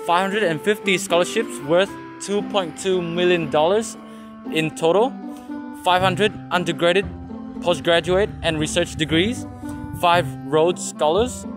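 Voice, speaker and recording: A young man hosting a vlog, cheerfully interviewing students on a university campus and echoing their answers back. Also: fairly high pitch. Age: young adult. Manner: upbeat, repeats the answers back. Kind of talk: casual street interview vlog. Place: outdoors on a university campus and in a library. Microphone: handheld mic.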